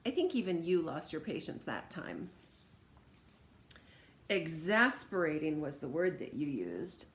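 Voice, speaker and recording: A woman reading a children's story aloud in an animated, expressive way with dramatic emphasis. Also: sits low. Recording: roomy echo, background noise.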